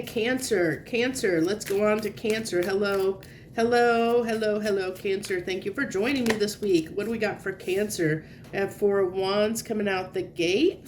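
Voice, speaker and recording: A stuffed-up, sniffly woman talks through a tarot reading in a loose, wandering way. She circles back on her thoughts and offers gentle reassurance. A faint low rumble sits underneath her voice. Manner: meandering, searching for words, gentle reassurance. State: congested, sniffly. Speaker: tarot reader. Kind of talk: casual tarot reading to camera.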